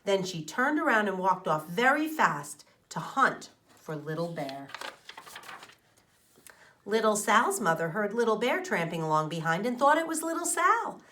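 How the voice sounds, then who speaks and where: Female teacher, children's read-aloud, expressive mid-pitched voice with lively swings, explanatory. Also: unhurried. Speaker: teacher reading aloud to her class.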